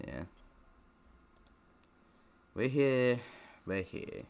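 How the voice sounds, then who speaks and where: A man's voice: medium pitch, big pitch swings, very slow, a little mellow, clean tone. A man who streams games, reading a visual novel aloud, stumbling a little and dropping in offhand, self-deprecating comments. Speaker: gaming streamer.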